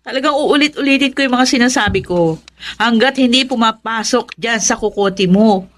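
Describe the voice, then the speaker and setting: An actress playing a self-righteous, bossy elder sister in a Filipino radio drama, nagging and insistent with drawn-out emphasis. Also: female voice, a little high, lightly acted.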